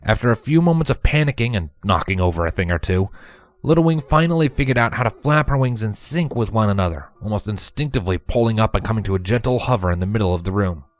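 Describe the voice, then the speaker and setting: A man giving an expressive scripted narration of a fan fiction story. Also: on the low side, fast, no echo.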